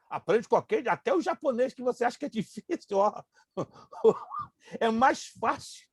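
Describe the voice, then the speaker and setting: A man whose first language is Hebrew, a pastor teaching a Bible class, emphatic and joking, slowly repeating grammar terms. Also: high voice, husky, dry recording.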